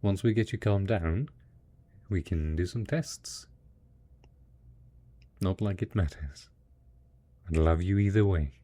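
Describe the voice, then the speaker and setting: A man playing a devoted, tender husband in a post-apocalyptic audio drama, speaking in a gentle, coaxing, husky voice with plenty of endearments. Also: sits low, takes it slowly, long pauses. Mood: hopeful, reassuring. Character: devoted, tender, hopeful husband caring for ghoul spouse after apocalypse. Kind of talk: roleplay audio drama, intimate monologue addressed to listener.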